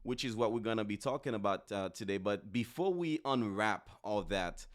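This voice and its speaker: A man from Haiti hosting a podcast in an upbeat, chatty way, often repeating words and pausing on fillers. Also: on the low side, expressive. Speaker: podcast host.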